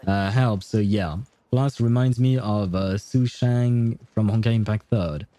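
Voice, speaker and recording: A man's voice: lowish voice, unhurried, warm tone, no echo. A man hosting a gaming livestream, talking casually and thinking aloud in a rambling way. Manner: rambling, thinking aloud, chuckles at own jokes. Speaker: livestream host.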